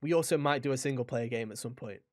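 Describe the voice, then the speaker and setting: A man's voice: mid-range voice, fast. A man hosting a gaming podcast, talking casually in an enthusiastic, rambling way with some swearing. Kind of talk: casual video game discussion.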